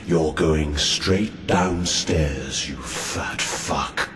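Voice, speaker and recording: A man's voice: a bass voice, full character voice. A man voicing an ominous, menacing figure of doom in a comedy sketch, on a noisy recording. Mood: menacing, grudging. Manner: menacing, drawn-out. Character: ominous, deep, slow voice of doom.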